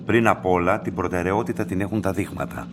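An actor playing a cold, controlling, enigmatic laboratory master in a radio play, terse and detached. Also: male voice, low voice, fairly even intonation, noisy background, close to an everyday voice. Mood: guarded, authoritative.

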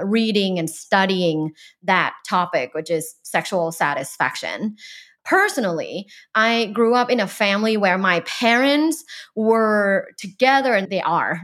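A woman's voice: medium pitch, fairly bright tone. A woman from Thailand, a professor and dating coach, chatting as a podcast guest with many fillers.

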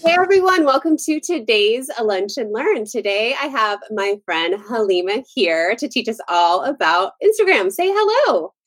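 A woman's voice: a little high, sing-song, normal speed, few pauses, soft background music. Woman hosting a podcast interview. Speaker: podcast host. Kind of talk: friendly interview intro and questions.

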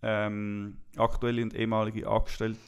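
Swiss german man co-host, measured and calm, low smooth voice. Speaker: podcast co-host. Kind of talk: casual chat.

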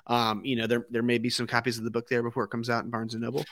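A man's voice: medium pitch, completely flat delivery, rapid-fire, fairly bright tone. A man co-hosting a casual online chat, playful and teasing, hyping up the events. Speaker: co-host.

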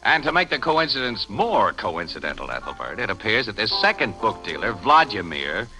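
A man's voice: lowish voice, very animated, moderate pace, sharp tone, exaggerated voice, rough recording. An American man playing a breezy, slangy crime photographer in an old radio drama. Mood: sardonic, keen. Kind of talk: 1950 radio acting. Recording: old 1950 radio recording with music.

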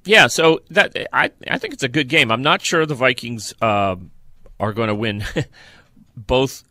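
A man co-hosting a sports podcast in casual football talk, haltingly searching for details as he goes. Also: mid-range voice, sharp tone, no room sound, studio quality.

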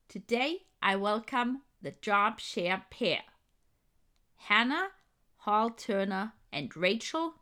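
A woman's voice: mid-range voice, a bit bright. A woman hosting a podcast, reading a scripted introduction and then chatting eagerly with guests over a video call. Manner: enthusiastic, gushing welcome. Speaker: podcast host. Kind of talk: scripted intro, then friendly interview chat.

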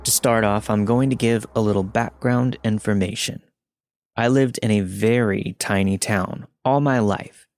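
A man's voice: on the low side, fairly even intonation, unhurried, a little mellow, no room sound. A man reading a scripted first-person spooky story as a podcast narrator. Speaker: podcast host reading listener stories.

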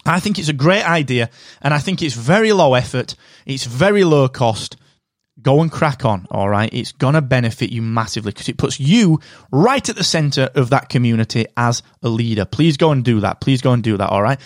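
A man hosting a solo podcast, giving direct, energetic advice while sounding slightly nasal from a cold. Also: mid-range voice, brisk, dry recording, studio quality. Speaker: podcast host.

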